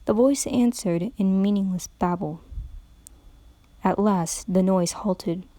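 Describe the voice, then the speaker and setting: A woman's voice: mid-range voice, slow, dark tone, no echo. A woman giving a brooding, dreamlike dramatic reading of a story as narrator.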